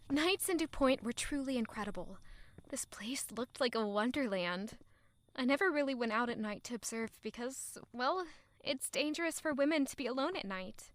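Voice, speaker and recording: A woman playing a romantic, curious young woman in an audio drama, narrating and speaking with a playful sense of wonder. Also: high voice. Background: faint music.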